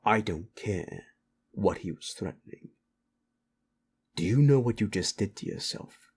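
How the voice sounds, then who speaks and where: A man playing a stern, weary keeper of an underworld club in an audio drama, measured, scolding and authoritative. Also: fairly low pitch, animated, darkish tone, breathy edge. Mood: exasperated scolding. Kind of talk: dramatic audio roleplay acting.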